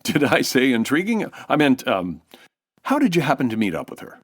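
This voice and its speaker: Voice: medium pitch, sing-song, normal speed, slightly rough. An actor playing a polite, flustered announcer in an old-time radio comedy, with a courteous, hesitant way of talking. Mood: polite, bemused. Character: polite, flustered radio show announcer visiting Watson's house.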